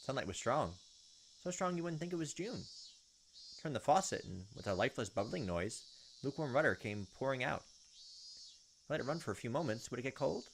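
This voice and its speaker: A man's voice: medium pitch, very animated, a little fast, gravelly, pauses often, a little hiss or hum. A man doing a casual let's play, reading a visual novel aloud and adding his own informal asides. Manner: informal, reacts to the story as he reads. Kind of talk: casual gameplay narration with asides.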